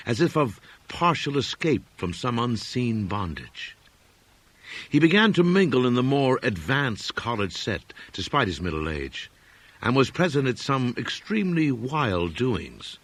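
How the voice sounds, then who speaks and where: A man reading gothic horror fiction as an audiobook narrator, in measured, dramatic phrases that often fall away at the end. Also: medium pitch, a bit bright, breathy edge.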